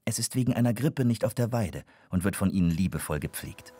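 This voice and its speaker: A man's voice: on the low side, animated, a little fast, warm tone, slight background noise. A man narrating a scripted children's audio story.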